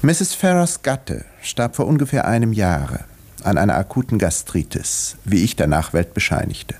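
A wry village doctor in a vintage radio play. His voice is on the low side and moves in very lively swings. He sounds urbane, measured and dryly ironic. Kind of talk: 1950s German radio drama, narration and dialogue.

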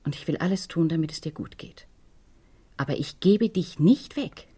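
A woman's voice: deep voice, moderate pace, mellow, breathy edge. An actress playing a firm, possessive mother in a radio drama, speaking in an insistent, controlled manner. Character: firm, possessive mother pleading with her resistant daughter. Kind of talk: German radio crime drama acting.

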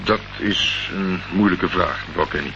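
A man's voice: deep voice, unhurried. An actor playing a wry, probing investigator in a radio crime play, polite but pointed in his questions. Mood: probing, dry. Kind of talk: Dutch radio play acting. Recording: dull, noisy old radio play recording.

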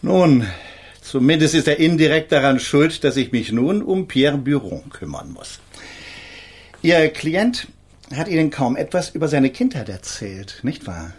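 A middle-aged man playing an eminent defence lawyer in a radio crime drama, husky and formal, with measured, patronising courtesy. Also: a little high, big pitch swings, full character voice. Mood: measured, probing.

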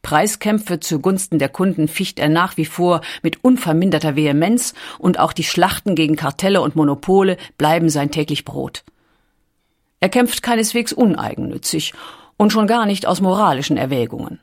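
A woman's voice: lowish voice, normal speed. A woman reading scripted documentary narration in a composed, carefully articulated storytelling manner.

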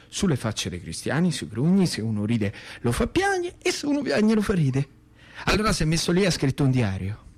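A man with a central Italian accent performing a dramatic storytelling monologue in a colloquial, rhythmic, theatrical way. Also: mid-range voice. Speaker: actor and storyteller.

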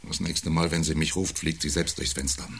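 An actor playing a domineering, self-important husband around forty who needles and belittles in an intimate German radio play. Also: male voice, very deep voice, little pitch movement, a little fast, creaky, a bit bright, husky, heavily acted. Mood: contemptuous, agitated. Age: 40s. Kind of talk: intimate radio drama dialogue.

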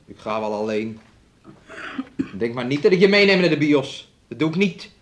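An actor playing a domineering, resentful young fiancé in a radio play, with a taunting, needling way of talking. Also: male voice, mid-range voice, sing-song, normal speed, a bit bright. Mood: angry. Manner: speaks of himself and her in third person, taunting.

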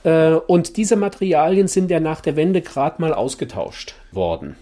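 A man explaining his work as an archive chief in an interview, in halting, hesitant speech. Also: a little high, very animated, dark tone. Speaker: head of a broadcasting archive.

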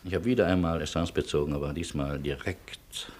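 An actor playing a wry, world-weary refugee in a German radio play, with theatrical irony. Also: male voice, very deep voice, steady tone, brisk, a little mellow. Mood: wry, resolute. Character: wry, world-weary male refugee, witty and self-sacrificing.

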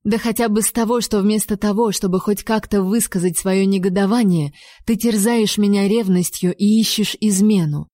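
A fiery young heroine with a warm, mellow voice, as a woman reader raises her pitch for her. Her words land with heat and emphasis. The sound is dry and close. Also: normal speed, dry recording, close to an everyday voice. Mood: indignant. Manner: heated, emphatic accusations.

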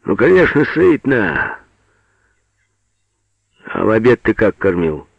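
Man acting growling, rough, powerful chairman. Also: on the low side, very animated, takes it slowly, no room sound, muffled recording, exaggerated voice. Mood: gruff, scolding. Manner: roaring, terse. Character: Pyotr, powerful committee chairman, rough, roaring, good-humoured man.

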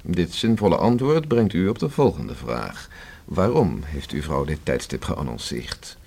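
An actor in a Dutch radio play playing a guarded, haughty gentleman under police questioning, speaking in a stiff, precise, formal way. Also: extremely low pitch, very animated, a little slow, vocal fry, husky, exaggerated voice. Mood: curt, irritated.

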